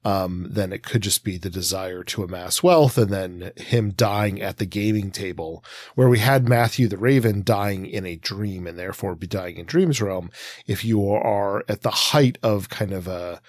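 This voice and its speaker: A man's voice: low voice, no echo. A man in his forties co-hosting a literary podcast, with a hesitant, meandering, exploratory way of talking. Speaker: podcast co-host. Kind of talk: conversational literary commentary.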